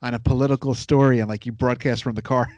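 A man's voice: mid-range voice, steady tone, quick pace, darkish tone, no room sound, muffled recording. A man chatting casually as a podcast host. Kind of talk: casual chat.

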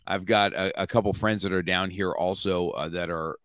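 A man's voice: low voice, steady tone, moderate pace, a bit bright, clean tone, no room sound. A man hosting an interview, talking in a rambling way with many restarts and chuckles. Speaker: podcast host. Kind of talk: casual interview chat.

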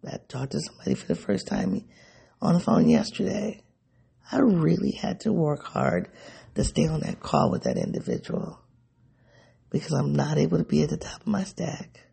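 Gravelly, raspy man musing sleepily. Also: a little high, very animated, normal speed, long pauses, mellow. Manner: musing, rambling, self-searching. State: sleepy, voice going. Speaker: vlogger. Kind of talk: personal reflective monologue.

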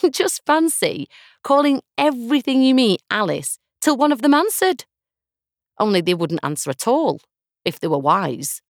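Woman as a chattering little girl, high and lively. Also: measured pace, dry recording, clean studio sound. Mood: amused, rambling. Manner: rambling to herself. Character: chatty, giggly, whimsical little girl in a storybook.

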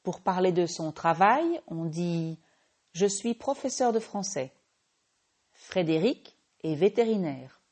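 A French woman who teaches French, hosting a slow, clear language-lesson podcast and patiently correcting her own small slips. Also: fairly low pitch, expressive, darkish tone. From France.

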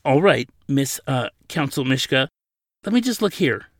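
Man doing a high, nervous male desk clerk. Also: big pitch swings, normal speed, no room sound, studio quality. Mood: nervous, flustered. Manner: nervous, hesitant, apologetic. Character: slight, timid, frazzled male desk attendant.

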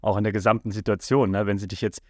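A man with a slightly low voice co-hosts a relaxed podcast. He speaks in a measured, reflective way, softening his points with little tag words. The sound is dry and close-miked. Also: dry recording. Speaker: podcast co-host. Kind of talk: casual podcast conversation.

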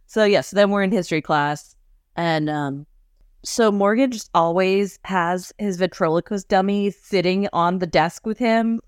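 A chatty woman co-hosting a podcast, recapping a TV episode between frequent laughs and animated asides. Her voice sits at a middle pitch and is recorded close and dry, with no room sound. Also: unhurried, clear, resonant tone, no echo. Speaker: podcast co-host. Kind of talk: casual chat recapping a TV episode.

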